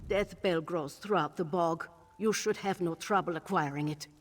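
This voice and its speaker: An actress as a low, echoing old crone witch. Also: close to an everyday voice. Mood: matter-of-fact. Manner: measured, archaic phrasing, wry. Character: old crone witch, cryptic, wry, knowing. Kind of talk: scripted video game dialogue. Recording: long reverberant tail on the voice.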